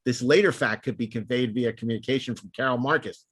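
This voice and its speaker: A man's voice: medium pitch, quick pace, slightly rough, dry recording. A man hosting a podcast, talking through and reading out notes in a casual panel discussion. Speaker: podcast host.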